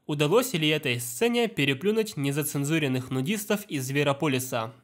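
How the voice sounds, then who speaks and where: Male narrator, slightly high voice, brisk scripted film-review read. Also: expressive, soft background music.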